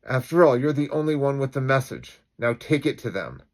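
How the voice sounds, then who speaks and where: A man playing a calm, defiant citizen vigilante with deliberate, declamatory speech in an acted-out story. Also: medium pitch, pitched above the performer's own voice, natural voice. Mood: grim, resolute.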